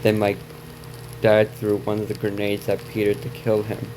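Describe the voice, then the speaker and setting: Low-voiced man reading haltingly through heavy noise. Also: monotone. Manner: halting reading, stumbling over words and repeating them. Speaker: reaction video host. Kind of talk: reading on-screen story text aloud with asides.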